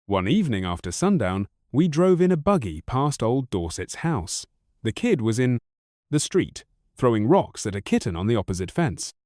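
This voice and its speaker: A man narrating an audiobook story in a wry, expressive first-person manner, recorded close on a studio mic. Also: medium pitch, normal speed, no room sound.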